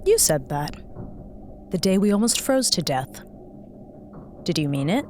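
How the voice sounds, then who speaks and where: A hard, accusing female captain in a space audio drama. Her voice is fairly low and very slow, dripping with sardonic contempt, over faint background noise. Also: sing-song, a little mellow. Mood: bitter, sardonic. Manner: biting, deliberate, contemptuous. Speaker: voice actor.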